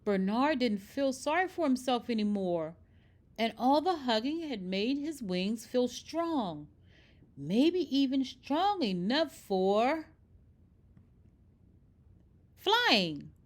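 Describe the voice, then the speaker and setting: A woman reading a children's picture book aloud in expressive, scripted narration. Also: fairly high pitch, slow, long pauses. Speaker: storybook reader.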